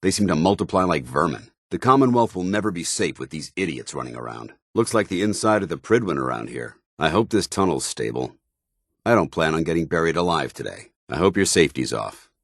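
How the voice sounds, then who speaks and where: An actor playing a stern, disciplined armored soldier in a video game, speaking in formal, clipped military phrases. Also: male voice, low-pitched, animated, a little fast, a bit bright, no room sound, studio quality, heavily acted. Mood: contemptuous. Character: stern, dutiful armored soldier paladin, grown man, devout and disciplined. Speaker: companion character. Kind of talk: scripted video game dialogue.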